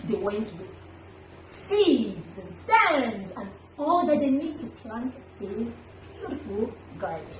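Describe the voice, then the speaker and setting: A woman hosting a children's story time, telling an animal tale with dramatic, deliberate emphasis. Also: on the high side, very animated. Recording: echoey room, distant mic, faint noise.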